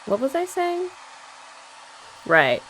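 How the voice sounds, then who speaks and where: A young woman in a sweet, chatty girlfriend roleplay for ASMR, talking softly and often laughing gently. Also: high-pitched, huge pitch swings, takes it slowly, slight background noise, low-quality recording.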